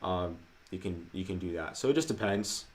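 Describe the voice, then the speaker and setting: Low-voiced man answering viewer questions. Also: expressive, normal speed. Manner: conversational, many fillers, hedging asides. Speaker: co-host. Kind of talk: casual livestream chat, answering viewer questions.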